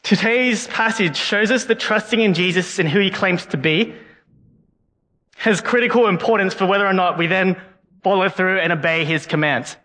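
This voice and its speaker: A man's voice: high-pitched, normal speed, pushed and bright. A young man preaching a sermon as a guest speaker, earnest and often repeating words as he goes. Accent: non-Canadian English, unplaced. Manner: earnest, frequent word repeats. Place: church hall. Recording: long hall reverb.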